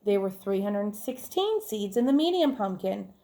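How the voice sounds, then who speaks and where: A woman's voice: medium pitch, sing-song, normal speed, mellow. A woman reading a children's story aloud like a teacher, expressively, with chatty asides to the listeners. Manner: clear storytelling with acted-out dialogue and questions to listeners.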